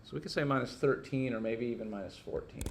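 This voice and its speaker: A man's voice: mid-range voice, quick pace, creaky, background noise. A man teaching a casual hands-on technical lesson, informally thinking aloud as he works through each step.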